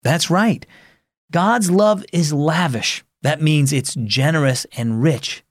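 A man doing a gentle forgiving dad. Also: on the high side, slow pace, dry recording, studio quality. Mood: reassuring. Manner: soft, reassuring. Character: gentle father, kind and forgiving.

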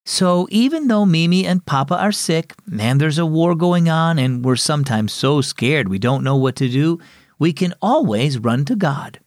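A man voicing a gentle, patient mother who explains things reassuringly in a children's story. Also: on the high side, expressive, moderate pace, dry recording, studio quality, voice pushed up, lightly acted.

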